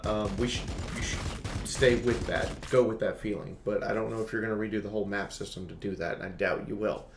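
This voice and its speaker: A man's voice: on the low side, fairly even intonation, a little fast, hardly any pauses, echoey room, a little hiss or hum. A man giving opinionated game review commentary, speaking bluntly and emphatically and repeating phrases for stress.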